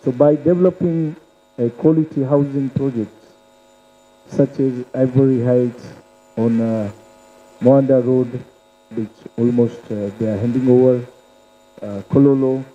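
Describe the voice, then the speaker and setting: A man's voice: medium pitch, steady tone, a little slow, dark tone. Chairman guy umming a lot, sounds tinny like over a phone. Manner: hesitant, many uh fillers. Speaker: community chairman. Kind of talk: speech soundbite.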